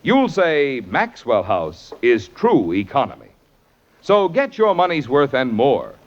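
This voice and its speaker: A man's voice: medium pitch, huge pitch swings, takes it slowly, muffled recording. A man reading a 1950s radio commercial as a persuasive, emphatic salesman, from an old broadcast. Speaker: commercial announcer.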